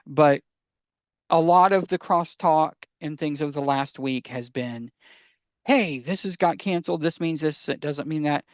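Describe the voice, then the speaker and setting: A man's voice: a little high, unhurried, dry recording, studio quality. A man co-hosting a casual podcast, explaining things emphatically and often posing questions that he answers himself. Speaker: podcast co-host.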